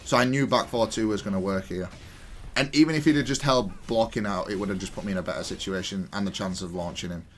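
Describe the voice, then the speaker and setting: A man's voice: on the low side, fast talker, a little hiss or hum. A man giving casual, matter-of-fact commentary as he analyses a video game match, explaining each move. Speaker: gaming content creator.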